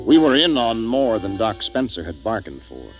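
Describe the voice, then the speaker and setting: A man's voice: on the low side, very animated, moderate pace. An actor playing a grave, decisive male spaceship captain who narrates his tale in a 1950s radio drama. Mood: grave narration. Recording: dull 1950s radio recording, music behind.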